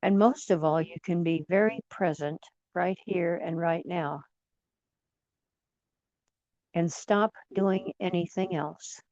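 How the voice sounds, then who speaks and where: A woman leading guided imagery in a soothing, gentle manner, leaving long pauses between phrases. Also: lowish voice, dry recording, dull audio. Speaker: guided meditation leader.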